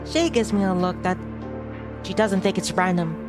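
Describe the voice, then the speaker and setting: A woman's voice: mid-range voice, normal speed. Woman streamer narrating over music. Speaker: streamer reading a visual novel aloud. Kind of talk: story narration with casual commentary.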